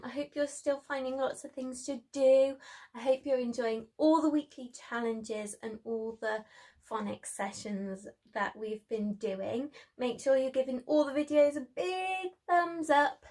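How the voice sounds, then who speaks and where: A woman's voice: fairly high pitch, a little mellow. A woman presenting a preschool story time, speaking in an encouraging way to small children.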